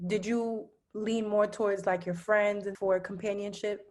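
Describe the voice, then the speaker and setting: A woman's voice: medium pitch, fairly even intonation, normal speed, darkish tone. A young woman hosting a podcast interview, introducing herself and asking short questions.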